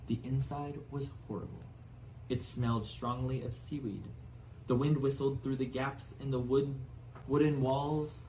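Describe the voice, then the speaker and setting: A man reading a storybook aloud as narrator in an expressive style. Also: medium pitch, a little hiss or hum, low-quality recording. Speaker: audiobook narrator.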